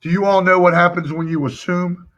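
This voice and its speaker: Hoarse male sales coach, slightly high, emphatic and direct; dull stream audio. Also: fairly even intonation, a little fast, a bit bright. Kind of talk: live-stream sales training.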